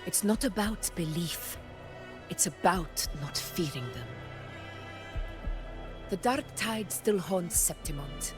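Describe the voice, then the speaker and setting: A woman voicing a proud, resolute warrior ruler in a video game, speaking in a formal, measured, commanding way. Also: on the low side, expressive. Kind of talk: dramatic game dialogue. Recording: music behind the voice. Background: background music.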